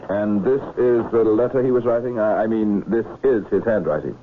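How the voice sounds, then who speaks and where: An actor playing a measured magistrate in a radio mystery, asking clipped, understated questions with dry calm. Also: male voice, on the low side, close to an everyday voice. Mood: probing, calm. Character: measured, dryly probing male island magistrate investigating a death. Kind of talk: 1970s radio mystery acting. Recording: muffled phone-like old radio, faint noise.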